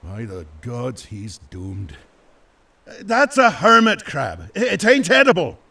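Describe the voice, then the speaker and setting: An actor playing a gruff but kindly old fisherman in a fantasy audio drama, with a folksy, muttering, rustic way of talking. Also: mid-range voice, huge pitch swings, takes it slowly, sharp tone, far from the mic, heavily acted. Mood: exasperated.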